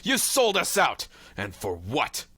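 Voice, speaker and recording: An actor playing a grim, commanding war pilot and Martian hunter in a pulp radio drama, stern and declamatory. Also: male voice, mid-range voice, wildly swooping pitch, slow, bright, forceful tone, slightly rough, exaggerated voice. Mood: righteous anger.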